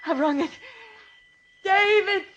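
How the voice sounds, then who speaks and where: A woman's voice: extremely high pitch, slow, dull audio, noisy background. An actress playing an anguished, stubborn mother, insistent and melodramatic, in 1940s radio drama style. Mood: frantic defiance. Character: anguished, stubborn mother desperate for her son.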